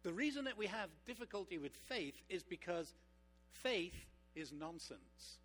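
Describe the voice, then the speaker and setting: A man preaching a sermon as pastor, engaging his congregation with rhetorical questions and slowing down for emphasis. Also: sits high, very animated, a bit bright, faint music behind. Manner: slows down for emphatic points.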